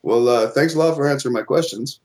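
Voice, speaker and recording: A man calls in to a talk show and answers with quick, brief replies. His voice sits at an ordinary middle pitch. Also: darkish tone. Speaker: caller. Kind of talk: phone-in conversation.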